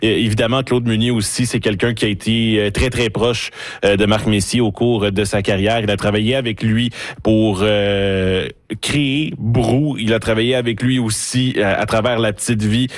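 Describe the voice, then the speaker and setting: Radio host, low and dry. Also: male voice, dry recording. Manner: measured, steady, many hesitations. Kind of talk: hosting a panel discussion.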